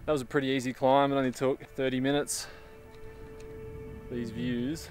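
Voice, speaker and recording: A man's voice: mid-range voice, normal speed. Young male travel vlogger, mid-range voice, casual and upbeat, on a camera mic with background music. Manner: relaxed, enthusiastic, chatty with fillers. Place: outdoors on windy cliffs and inside a car. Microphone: camera-mounted mic, held at arm's length.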